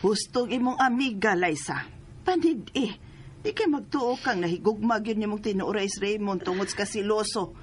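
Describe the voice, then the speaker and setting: A woman's voice: mid-range voice, moderate pace, a little hiss or hum, natural voice. Actress as stern, scolding mother, radio drama. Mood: reproachful. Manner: scolding, insistent. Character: stern, sceptical mother warning her grown daughter. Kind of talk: Filipino radio drama acting.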